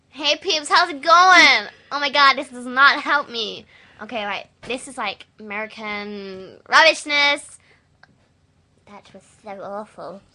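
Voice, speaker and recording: Giggly teen girl with a bright voice. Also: high-pitched, slow pace, pauses often. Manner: giggly, loud outbursts, mock lisp. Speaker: vlogger. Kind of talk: casual webcam chat with a friend. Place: bedroom at night. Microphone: laptop webcam.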